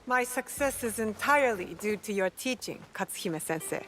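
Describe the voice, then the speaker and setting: A woman's voice: mid-range voice, animated, a little fast, fairly bright tone, natural voice. An actress playing a stern, loyal young woman warrior in a video game, curt and earnest with a dry, scolding tongue. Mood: earnest, respectful. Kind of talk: dramatic video game dialogue. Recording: music playing behind the voice.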